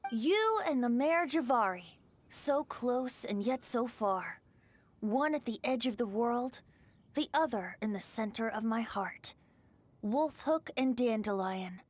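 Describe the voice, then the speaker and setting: A woman's voice: fairly high pitch, lively intonation, takes it slowly. A woman voicing a playful, theatrical young male bard with polished, lilting phrasing in an English game dub. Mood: poetic.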